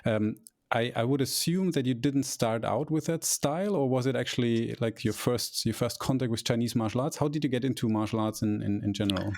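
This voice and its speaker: A man hosting an interview, asking his guest questions in a halting way and often repeating words as he forms them. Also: medium pitch, gravelly, darkish tone. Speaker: podcast host.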